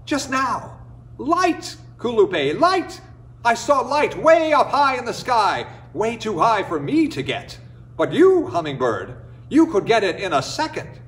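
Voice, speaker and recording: An excitable storybook coyote man, acted out by a man, grumbling and exclaiming in a raised pitch. His delivery swoops up and down with lots of animation. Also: measured pace, heavily acted. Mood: excited. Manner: exclamatory, muttering to himself.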